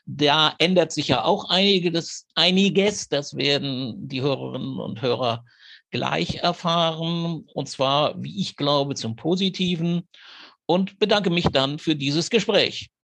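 Male podcast host with a creaky voice. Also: on the high side, takes it slowly, fairly bright tone. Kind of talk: conversational interviewing and announcing.

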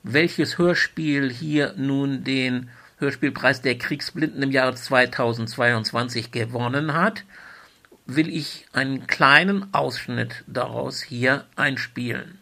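A man's voice: medium pitch, takes it slowly, creaky, sharp tone. A man hosting a podcast in an informal moderating style. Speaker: podcast host.

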